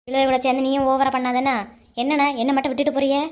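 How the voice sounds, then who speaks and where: Lady doing a touchy middle-aged auntie, high voice, sarcastic kanyakumari tamil, cartoon comedy. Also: completely flat delivery, racing, lightly acted. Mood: offended, scolding. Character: grumbling, easily offended middle-aged village woman in a cartoon.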